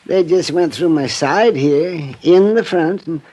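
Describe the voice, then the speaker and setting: An actor playing a cheerful, chuckling, stubbornly indestructible old drunk man in a radio drama, rambling and folksy with a husky voice. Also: on the high side, unhurried, darkish tone, slight background noise, lightly acted. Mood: cheerful, boastful. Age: older. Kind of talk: 1950s radio drama acting.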